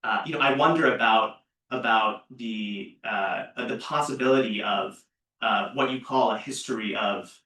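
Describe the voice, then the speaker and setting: A man's voice: fairly low pitch, moderate pace, pushed and bright. A man in a seminar audience asking a long academic question, hesitant, with many fillers and restarts. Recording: roomy seminar room, far from mic.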